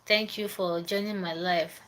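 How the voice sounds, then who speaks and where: A woman's voice: fairly low pitch, steady tone, measured pace, fairly bright tone, slight background noise. Chatty young Nigerian woman livestreaming. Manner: chatty, repeats herself, greets viewers by name. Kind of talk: casual live-stream chat.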